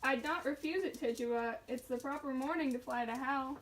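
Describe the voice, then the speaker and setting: Woman playing a high-voiced brisk jail marshal. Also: lightly acted, poor audio. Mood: wry, brisk. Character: brisk, dutiful male jail marshal of colonial Salem. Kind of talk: amateur puppet show acting of a stage play.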